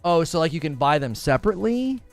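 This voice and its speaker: A man's voice: fairly high pitch, sing-song, fast, gravelly, soft background music. A man hosting a gaming livestream, talking casually and informally to his viewers and reading their comments aloud. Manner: informal, slangy, addresses viewers directly. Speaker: gaming podcast host and livestreamer.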